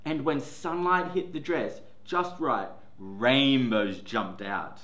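A man in his forties, a teacher, reading a picture book aloud to children, seated in a classroom. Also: mid-range voice. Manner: slow, expressive storytelling. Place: classroom, indoors. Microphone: camera in front, seated. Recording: echoey classroom.

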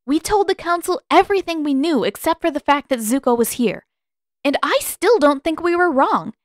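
A woman's voice: sits high, expressive, normal speed, no room sound, clean studio sound, voice pushed up. A woman voicing a fiery, indignant teenage girl who speaks sharply and accusingly in a storybook reading. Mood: defiant.

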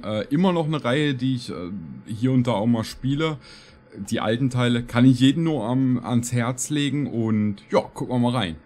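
Male reaction host, thirties, medium pitch, loose joking delivery, streamer feel. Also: a little mellow. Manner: rambling, joking. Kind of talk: casual reaction commentary. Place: small streaming room indoors.

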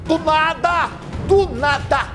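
An older man hosting a TV news show, presenting in a loud, theatrical way, laughing and shouting to the camera in a studio. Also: very high voice, slow pace, over music.

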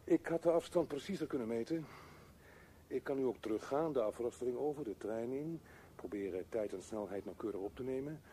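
A man's voice: medium pitch, fairly quick, mellow, slight background noise, natural voice. An actor playing a brooding, restless man thinking aloud in a radio drama, with theatrical, broken phrasing. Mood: restless, urging himself.

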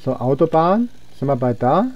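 A man's voice: mid-range voice, sing-song, moderate pace, highs cut off. A young man giving casual gameplay commentary, rambling and thinking aloud as he plays. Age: young adult. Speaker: let's player.

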